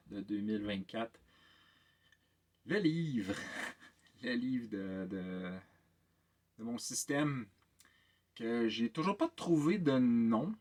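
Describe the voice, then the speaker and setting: A man with a Québécois French accent, giving a casual, rambling vlog update as a tabletop game master. He sounds very tired and hesitates often, with many filler sounds and repeated words. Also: fairly low pitch.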